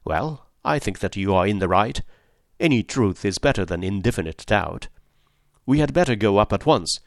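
A man reading aloud and voicing a calm detective who speaks in a measured, reasoned manner. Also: lowish voice, no room sound, studio quality. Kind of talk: audiobook character voice.

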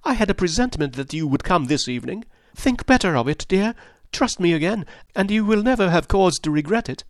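A man's voice: high voice, animated, brisk, dry recording, clean studio sound, pitched above the performer's own voice. A man reading aloud and voicing a young wife who speaks in a raised, urgent, entreating voice that turns proud and firm. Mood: pleading. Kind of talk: audiobook character voice.

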